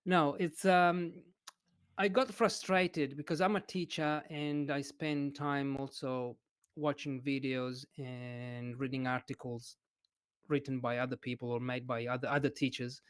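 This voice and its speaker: A man from Italy with an Italian accent, an English teacher chatting as a podcast guest in a hesitant, expressive way. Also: mid-range voice, unhurried, clean tone. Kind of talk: casual interview chat.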